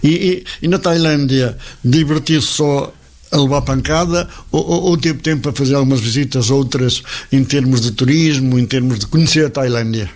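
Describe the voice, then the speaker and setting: A man's voice: medium pitch, normal speed, darkish tone. A man with a European Portuguese accent interviewing informally, repeating the starts of his phrases in a teasing way.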